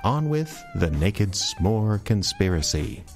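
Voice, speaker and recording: A man introduces a podcast as its host. His voice is a little low with lively pitch movement, and faint music plays underneath. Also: slow. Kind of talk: scripted show introduction and thanks.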